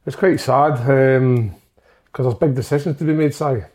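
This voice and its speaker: A man's voice: medium pitch, normal speed, darkish tone. A man co-hosting a football chat show, rambling and joking crudely, laughing easily. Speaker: podcast co-host, goalkeeper. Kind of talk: casual banter.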